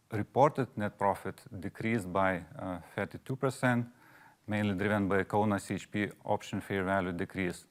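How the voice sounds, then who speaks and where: A man giving a formal financial presentation as finance chief, with many hesitations. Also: sits low.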